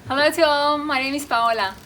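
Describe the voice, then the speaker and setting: A woman's voice: high voice, fairly quick, a bit bright. A young woman from Colombia with a Colombian Spanish accent, speaking English as a guest and reflecting as she searches for words. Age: young adult. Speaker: interview guest. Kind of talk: casual conversation. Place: outdoors in a small coastal town. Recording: distant mic with clear outdoor noise.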